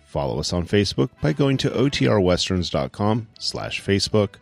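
Deep gravelly male podcast announcer. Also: big pitch swings, normal speed, soft background music. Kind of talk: scripted outro announcement.

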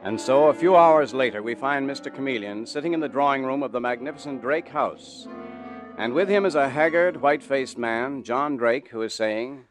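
A man's voice: mid-range voice, moderate pace, close to an everyday voice. A man narrating an old-time radio drama as its announcer, in a scripted storytelling style. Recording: music behind, vintage radio broadcast.

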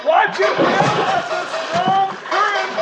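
An actor playing a folksy, excitable deputy and sidekick with a country drawl and chuckles, in a 1950s radio western. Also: male voice, very high voice, slow, long reverb tail, loud music behind the voice, over a noisy crowd. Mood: urgent. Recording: hissy old radio transcription.

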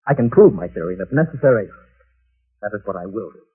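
An actor playing an obsessed, resentful professor in a 1940s radio drama, on an old, tinny broadcast. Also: male voice, fairly low pitch, lively intonation, fast talker, breathy edge. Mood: insistent. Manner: agitated, insistent. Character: obsessed middle-aged male professor, resentful and unstable.

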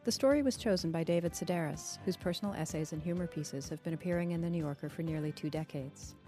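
A woman's voice: on the low side, quick pace, dark tone, soft background music. A woman hosting a literary podcast, composed and articulate in scripted introductions and interview questions. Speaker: podcast host.

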